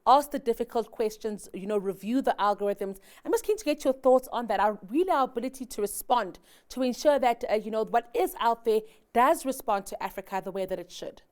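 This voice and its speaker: A woman's voice: fairly high pitch, brisk, hardly any pauses, a little mellow. A woman interviewing a guest on a business TV channel, framing long thoughtful questions with some hesitation.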